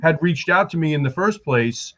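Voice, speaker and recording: A man's voice: fairly high pitch, lively intonation, normal speed, a bit bright. A man hosting a panel show, playful and hyped, tossing out nicknames and catchphrases. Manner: hype, playful, calls people baby. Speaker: show host. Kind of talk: casual panel chat. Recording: slightly dull sound with faint noise.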